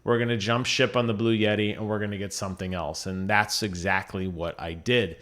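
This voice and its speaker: Man, fairly low voice, casual tech presenter, conversational, emphatic phrasing. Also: fairly even intonation, a little fast, a bit bright. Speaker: video creator presenting audio gear. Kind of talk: casual explanatory tech vlog.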